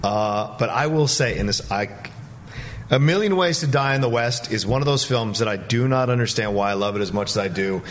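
Male talk show panelist, average register, animated fan enthusiasm, conversational delivery. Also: medium pitch, a little fast, a little hiss or hum. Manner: enthusiastic, emphatic. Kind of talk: casual panel chat.

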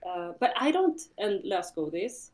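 A woman's voice: medium pitch, sing-song, measured pace, crowd noise. A woman from Sweden in her thirties, chatting as a guest, with many fillers and frequent laughs. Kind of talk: casual chat.

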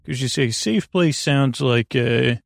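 A man with a creaky voice hosting a bedtime podcast in a meandering, halting, earnest monologue that keeps interrupting itself. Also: mid-range voice, dry recording, studio quality. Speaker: podcast host.

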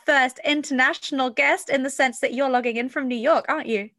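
High-voiced upbeat woman hosting a podcast. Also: fairly even intonation, brisk, fairly bright tone. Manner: upbeat, cheeky. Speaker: podcast host.

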